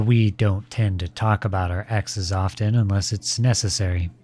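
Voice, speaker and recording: Audio roleplay boyfriend male actor: protective, courteous yet cutting, pointed formal delivery, medium pitch, very dry sound. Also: darkish tone, no room sound. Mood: cool, probing. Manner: measured, formal, pointed phrasing. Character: protective boyfriend coolly confronting partner's ex, polite but cutting. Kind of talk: scripted audio roleplay monologue.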